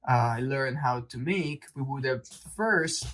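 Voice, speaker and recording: A man's voice: mid-range voice, expressive, a bit bright. Italian man stumbling through a cooking demo. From Italy. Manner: halting, repeats words while searching for them. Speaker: cooking show co-host. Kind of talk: casual cooking demonstration. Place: kitchen.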